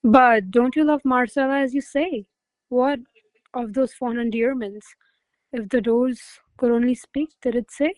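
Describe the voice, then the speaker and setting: A woman's voice: fairly high pitch, measured pace, a little mellow, dry recording, natural voice. A woman playing a proud, teasing young noblewoman in a classical radio play, speaking in formal, theatrical phrases. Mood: teasing, probing. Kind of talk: classical verse stage comedy as radio play.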